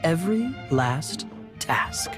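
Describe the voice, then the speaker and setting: A woman playing an easygoing, theatrical young knight officer in an anime game, suave and unhurried. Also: sits low, very animated, over music, exaggerated voice. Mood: relaxed, reassuring.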